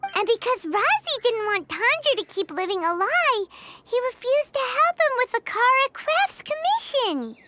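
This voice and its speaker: Tiny excitable fairy companion, very high and lively; game score behind. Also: female voice, moderate pace. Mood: surprised. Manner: high, bouncy, refers to herself in third person. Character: small floating fairy companion, chirpy and excitable. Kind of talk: game voice acting. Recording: game audio with clear background music.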